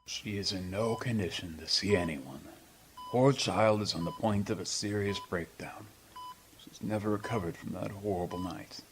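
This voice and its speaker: A man playing an ailing, proud old politician in a radio drama, speaking in formal, pompous, measured phrases. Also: lowish voice, a little hiss or hum, natural voice, low-quality recording. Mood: guarded.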